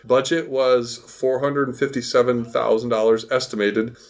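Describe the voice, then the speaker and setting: A man with a medium-pitched voice co-hosts a casual film podcast. He gives his views emphatically and with plenty of opinion. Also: steady tone, unhurried. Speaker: podcast co-host.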